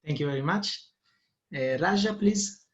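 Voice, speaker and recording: A man running an online debate session speaks with a slightly raised pitch. He hesitates often and fills gaps with "uh" as he explains the plan. The audio is dull and lo-fi, as from a video call. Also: expressive, measured pace. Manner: hesitant, many fillers. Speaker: debate moderator. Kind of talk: online meeting moderation.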